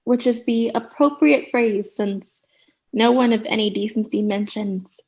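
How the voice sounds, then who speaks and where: A woman's voice: on the high side, fairly even intonation, unhurried, a little mellow. A woman playing a relentlessly cheery, bossy sitcom character in a comedic radio play, theatrical, gushing and condescending. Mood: rapturous.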